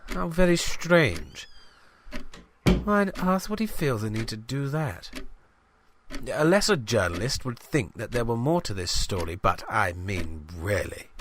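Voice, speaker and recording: Man playing pompous low-voiced British village reporter. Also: fairly bright tone, a little hiss or hum. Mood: puzzled, dismissive. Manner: formal, pompous, musing. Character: pompous genteel village newspaper reporter, self-important, fussy, prim. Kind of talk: dictated audio diary, comic scripted drama.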